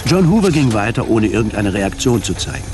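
A man narrating a scripted German radio drama in a measured, literary manner. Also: lowish voice, sing-song.

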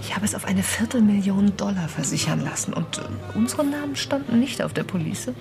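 An ambitious young woman facing an old lover, guarded and cool. Her delivery is naturalistic radio drama, a little defensive. Music sits clearly under the voice. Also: mid-range voice, lively intonation, brisk. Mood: cool, needling.

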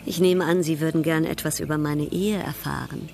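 An actress in a German radio drama playing a proud, worldly European baroness, poised, arch and coolly ironic. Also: female voice, deep voice, steady tone, fairly quick, gravelly, music in the background. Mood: proud, frank.

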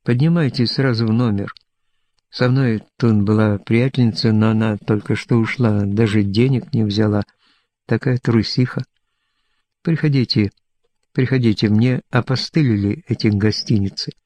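A man's voice: fairly low pitch, fairly even intonation, normal speed, a little mellow, dry recording, lightly acted. A man voicing an aging stage performer in an audiobook, boastful and lonely, rambling on insistently in short, self-assured phrases. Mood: insistent, pleading. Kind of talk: audiobook character dialogue.

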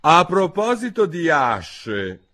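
A rude, ill-tempered duchess, as a male narrator puts her on in a storybook reading, raised in pitch and loud. She growls and snaps dismissively, and her voice is husky and rough. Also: huge pitch swings, slow pace, heavily acted. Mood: snappish.

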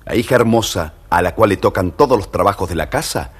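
A man's voice: on the low side, lively intonation, brisk, husky. A man narrating a scripted children's fairy tale as storyteller, theatrical, firm and explanatory.

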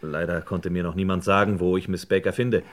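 Actor as low-voiced, courteous, earnest young man. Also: fairly even intonation, fairly quick, natural voice. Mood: polite, earnest. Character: earnest, polite young man, son on an errand. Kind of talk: German radio crime play acting.

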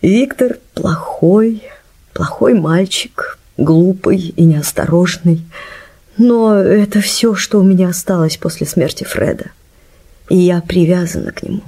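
A refined, troubled widow in a radio drama. Her voice is low and mellow with a slight huskiness. She speaks hesitantly and confidingly, as if reluctant to admit her worries. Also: measured pace, breathy edge. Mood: sad, wistful. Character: refined, troubled older widow forced to sell heirloom pearls. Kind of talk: Russian radio play acting. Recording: music bed under studio voice.

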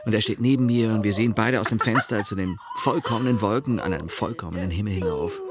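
An actor playing a wry, affectionate middle-aged husband who narrates a radio play in the first person. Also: male voice, on the low side, fast talker, heavy background noise, natural voice. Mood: reflective.

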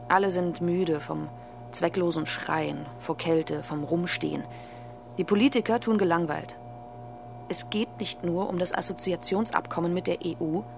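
A woman's voice: lowish voice, moderate pace, vocal fry, over music. A woman reading dated diary entries in a subdued, weary way. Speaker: reader of diary posts.

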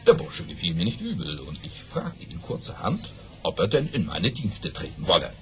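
A man's voice: low voice, wildly swooping pitch, a little hiss or hum, heavily acted. A man playing a boastful baron who tells tall tales to drinking friends in a theatrical children's audio drama. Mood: mysterious, boastful. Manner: theatrical, savouring pauses, hesitant fillers for effect.

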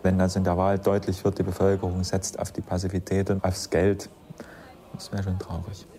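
A man reflecting earnestly on politics in a sober, deliberate way, as if interviewed. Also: sits low, monotone, warm tone. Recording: faint ambient noise.

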